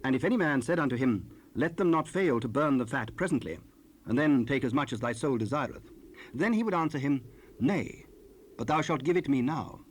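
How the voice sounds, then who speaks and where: A man narrating scripture in a solemn, measured, formal style for an audio drama. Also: mid-range voice, lively intonation.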